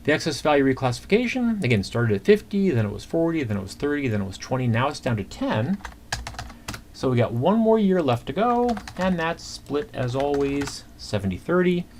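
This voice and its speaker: A man giving an informal screencast accounting lesson, talking through calculations step by step in a conversational, halting way. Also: fairly high pitch, big pitch swings, darkish tone. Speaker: accounting instructor. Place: indoors at a computer. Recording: clear background noise, keyboard typing.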